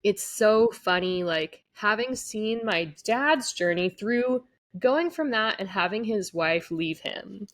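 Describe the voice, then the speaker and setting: A woman's voice: mid-range voice, expressive, slow, hardly any pauses, no echo. A woman guest on a podcast telling a personal family story in casual conversation, often saying "like" and "you know.".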